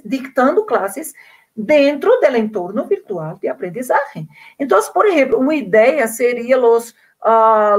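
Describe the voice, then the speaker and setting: A woman from Brazil with a Portuguese accent speaks Spanish as a second language in a slightly high voice. She talks earnestly and often repeats a word while reaching for the next one. The sound is that of a video call. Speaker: invited speaker. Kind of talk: online conference talk, answering questions.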